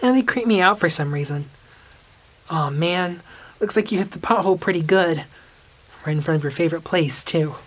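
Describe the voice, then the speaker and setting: An eager, helpful schoolboy with a taste for the spooky, voiced by a woman in a modern radio play. The voice sits a little low and tells things in an earnest, chatty way. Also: moderate pace, pauses often, lightly acted. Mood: sympathetic. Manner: earnest, colloquial storytelling. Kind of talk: modern audio drama acting.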